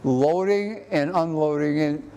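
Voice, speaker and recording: An elderly American man in his eighties, an interview guest speaking earnestly and haltingly, often repeating himself. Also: a little high, vocal fry, mellow, clear, resonant tone. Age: 80s. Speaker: interview guest, army veteran. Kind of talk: personal reminiscence in an interview. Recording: echoey hall with background noise.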